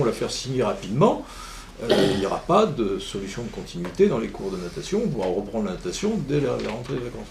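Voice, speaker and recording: A man's voice: fairly low pitch, creaky. A man speaking as a local politician, arguing his case in debate at a public meeting. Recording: echoey room, faint background noise.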